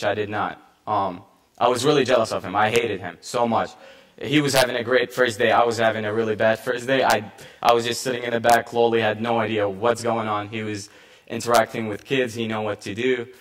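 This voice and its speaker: A young man giving an earnest, halting personal testimony at a conference, with frequent restarts and fillers. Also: mid-range voice, fairly even intonation. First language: Arabic. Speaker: panel speaker, refugee volunteer.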